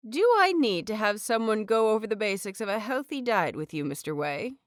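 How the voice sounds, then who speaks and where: A woman doing a brisk, stern head healer. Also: mid-range voice, expressive, no echo, clean studio sound, close to an everyday voice. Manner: clipped and stern.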